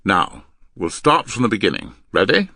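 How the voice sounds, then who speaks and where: Audiobook role: male reader as domineering crook, grim and forceful, slightly rough voice, very animated delivery. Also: on the low side, normal speed, no room sound, studio quality, full character voice. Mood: commanding. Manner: forceful, commanding. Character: grim, bullying male card-sharp, impatient taskmaster.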